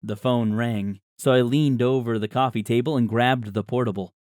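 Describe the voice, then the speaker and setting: A man's voice: medium pitch, fairly even intonation, normal speed, darkish tone, dry recording, studio quality. A man reading a novel aloud as scripted audiobook narration.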